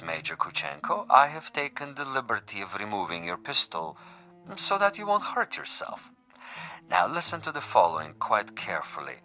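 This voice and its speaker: A man's voice: on the low side, pushed and bright, exaggerated voice. An actor playing an urbane, sadistic assassin who taunts his prey in formal, precise, theatrical phrases in a suspense radio drama.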